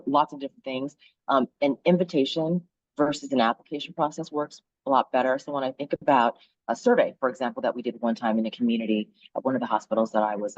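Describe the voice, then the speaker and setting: A woman's voice: extremely low pitch, a little mellow, slightly rough, dry recording, muffled recording. A woman on a webinar panel, explaining her point fluently and with emphasis over a video call. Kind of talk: casual panel talk.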